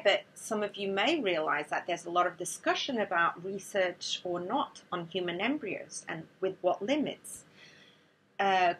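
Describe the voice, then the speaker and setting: A woman from Portugal, giving a measured, explanatory talk to students as a neuroscientist. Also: medium pitch, a bit bright, slightly rough, low-quality recording. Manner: measured, explanatory, with a few restarts.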